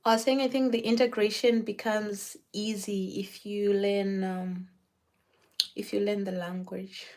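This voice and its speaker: A chatty woman from Zimbabwe, talking with a client as she works on her hair. Her pitch sits in an ordinary middle range for a woman. She loops back and repeats words as she restarts her sentences, in an easy, conversational way. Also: level tone, a little slow, a little mellow. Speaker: hairstylist. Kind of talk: casual chat while doing hair.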